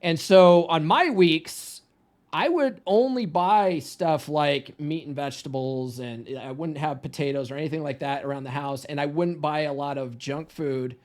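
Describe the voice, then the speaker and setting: A man's voice: on the high side, moderate pace. A man in his forties, a guest coach, telling stories casually and laughing easily. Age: 40s. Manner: chatty storyteller, laughs easily, repeats words when starting. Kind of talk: casual conversation.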